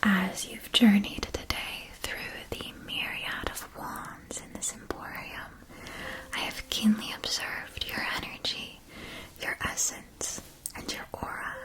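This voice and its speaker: A woman playing an attentive, mystical wand shop keeper in a breathy, whispered ASMR fantasy roleplay, with formal, theatrical phrasing. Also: medium pitch, little pitch movement, takes it slowly, natural voice, poor audio. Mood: solemn, mystical.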